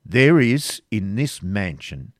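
A man voicing a stern elderly Grand Master of a knightly order, who speaks slowly and emphatically in a severe, commanding tone. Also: lowish voice, big pitch swings, dry recording, clean studio sound. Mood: stern, accusing.

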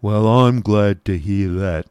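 A man voicing a gloomy, petulant apparition in a storybook reading, with a grim, drawn-out, snarling delivery. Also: lowish voice, a little mellow, smooth, dry recording. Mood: grim, impatient. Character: gloomy, petulant male apparition urging despair.

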